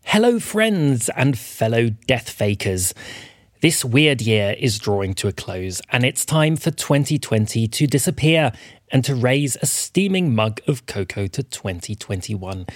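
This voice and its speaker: A man's voice: medium pitch, no room sound, studio quality. A man hosting an audio fiction podcast, delivering scripted narration as a storyteller.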